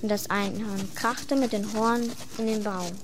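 A woman's voice: mid-range voice, steady tone, measured pace, noisy background. A woman reading scripted fairy-tale narration as the storyteller of a radio play.

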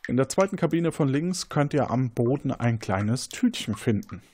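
Lively male game master with animated pitch. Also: moderate pace, husky. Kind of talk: narrating an interactive crime game, semi-improvised.

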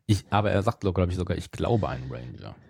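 A man's voice: sits low, animated, fairly quick, gravelly, darkish tone, slightly rough, no echo, studio quality. A man chatting as a podcast co-host, analytical and questioning in a casual way. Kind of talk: casual fan discussion.

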